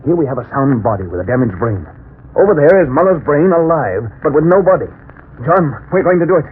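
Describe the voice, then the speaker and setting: An excitable male scientist professor in an old radio melodrama. His delivery swings widely, urgent and commanding, through a narrow, tinny recording. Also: on the high side, brisk, a little hiss or hum. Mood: intent, scheming.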